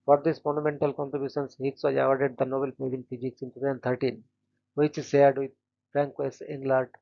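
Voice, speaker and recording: A man hosting a daily channel, reading short scripted biographies in a flat, steady way and stumbling now and then on names. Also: mid-range voice, brisk, creaky, dull audio. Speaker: host of a daily events channel.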